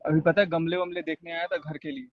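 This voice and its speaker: A man chatting casually on a recorded private phone call in a brisk, offhand way. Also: on the high side, level tone, dry recording.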